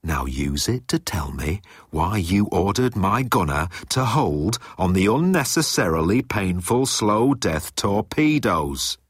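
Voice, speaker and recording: A man's voice: fairly low pitch, big pitch swings, slow, hardly any pauses, breathy edge, no room sound, studio quality, full character voice. A man voicing a pompous, menacing alien commander who speaks with pedantic deliberation in an audiobook. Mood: cold.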